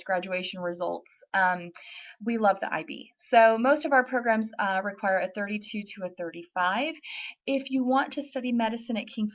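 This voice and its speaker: A woman's voice: medium pitch, a bit bright. Woman, admissions rep, friendly and informative, webinar Q&A, muffled lo-fi sound. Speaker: university admissions representative. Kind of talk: answering typed questions in a webinar.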